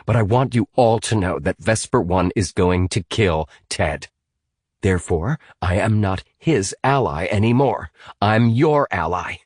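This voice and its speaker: A man's voice: on the low side, animated, measured pace, darkish tone, no echo. Audiobook role, male narrator: resolute teenage girl turned ally, stiff, defensive, dry-humoured, recorded close. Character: stiff, determined teenage girl, distrusted ex-enemy in an adventure novel.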